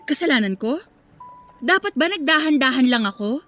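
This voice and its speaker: High-voiced, cutting, hurt young girlfriend. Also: animated, normal speed, bright, forceful tone. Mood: angry, incredulous. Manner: sarcastic, cutting. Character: hurt, sarcastic young woman confronting cheating boyfriend. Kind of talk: radio drama acting. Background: faint background music.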